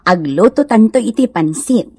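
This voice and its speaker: A woman's voice: mid-range voice, lively intonation, normal speed, dark tone, breathy edge, dry recording, studio quality. A woman playing an agreeable, cheerful and hospitable wife in a radio comedy drama, with a homely manner.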